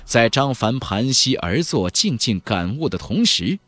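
A man's voice: medium pitch, sing-song, a little slow, a bit bright, no room sound, soft background music. A man narrating a fantasy audiobook in a dramatic, scripted storytelling style.

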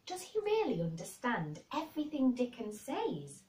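A woman's voice: a little high, wildly swooping pitch, moderate pace, darkish tone, voice pushed up. A woman voicing a curious, joyous young boy in an audiobook story, full of wondering exclamations. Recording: roomy echo, distant microphone.

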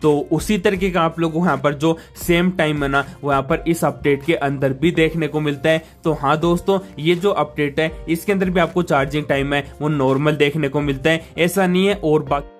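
A man's voice: fairly high pitch, steady tone, moderate pace. A man giving an informal tech review to viewers, explaining things in a wordy, repetitive way with constant filler phrases. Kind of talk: informal phone update review addressed to viewers. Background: background music.

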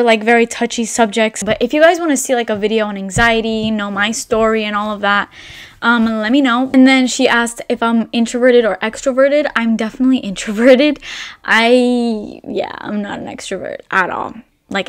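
A woman in her twenties, raised in Albania, chatting to camera in a relaxed Q&A and filling her talk with "like". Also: on the high side, moderate pace. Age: 20s. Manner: chatty, rambling, full of "like" fillers. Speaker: vlogger. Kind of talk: casual Q&A chat to camera. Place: indoor room with brick wall and shelves.

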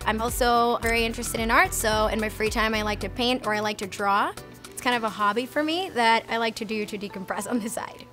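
A woman in her thirties, from Puerto Rico, explains her work as an engineer in an earnest, example-filled way. Her voice sits a little high and is picked up by an earbud headset mic in an indoor lab storeroom. A clear music bed plays underneath. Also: brisk, sharp tone. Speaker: research and development engineer. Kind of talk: interview answers.

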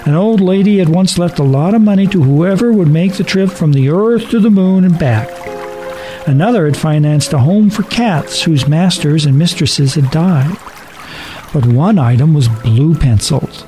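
A man's voice: a little high, expressive, normal speed, mellow, soft background music. A man reading a scripted story as a dramatic narrator.

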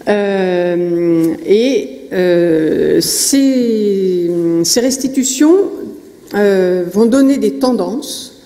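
A woman's voice: mid-range voice, expressive, very slow, dark tone. A middle-aged woman giving a conference talk at a lectern, hesitant with many fillers and restarts. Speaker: conference speaker. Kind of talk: conference presentation. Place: conference hall stage at a lectern. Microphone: lectern microphone. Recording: long hall reverb.

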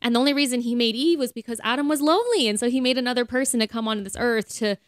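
A woman's voice: a little high, very fast, dry recording, studio quality. A woman co-hosting a podcast, talking in an earnest, chatty way in casual conversation about faith. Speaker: podcast co-host.

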